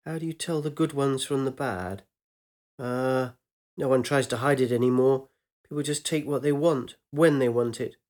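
A man's voice: mid-range voice, normal speed, darkish tone, close to an everyday voice. A man voicing a bold, reckless young woman surviving in a ruined city, playful and pushy in a spoken story. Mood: chatty.

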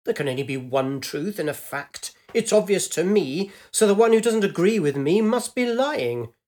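A bullying man in power, acted out by a story narrator, paranoid and full of himself. He speaks in loud, indignant outbursts and accuses whoever is in front of him, in the manner of an audio short story. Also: medium pitch, animated, normal speed, lightly acted. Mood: angry ranting.

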